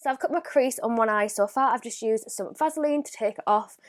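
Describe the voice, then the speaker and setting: A woman's voice: fairly high pitch, a little fast. A young woman with a blocked-up nose from a cold, chatting casually through a makeup review and laughing often. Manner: chatty, giggly, drops to a near whisper while applying makeup. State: congested with a cold. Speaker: beauty vlogger.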